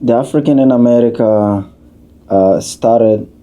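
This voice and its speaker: A man, a community leader answering questions as a podcast guest in an unhurried, halting way. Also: medium pitch, warm tone. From Somalia, raised in Kenya. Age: 30s. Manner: unhurried, halting, with many uh fillers and restarts.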